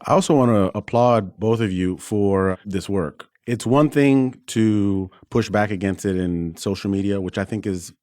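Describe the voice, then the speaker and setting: A man's voice: lowish voice, unhurried, few pauses, a little mellow, no echo, studio quality. A man hosting an interview podcast, speaking conversationally to his guests. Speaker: podcast host, doctor.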